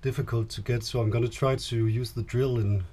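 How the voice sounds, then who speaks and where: A man's voice: medium pitch, fairly even intonation, fairly quick, mellow. A man in his thirties or forties with a Danish accent, narrating a casual DIY vlog in a hesitant, understated way. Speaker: boat owner and vlogger. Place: small boat cabin. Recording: clear background noise on camera audio.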